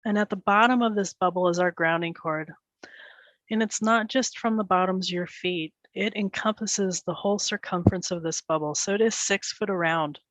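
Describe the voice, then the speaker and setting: A woman's voice: mid-range voice, no room sound, rough recording. Woman guiding a meditation, dry sound. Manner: gentle, soothing instruction in short phrases with pauses. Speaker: guided meditation leader. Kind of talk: guided meditation.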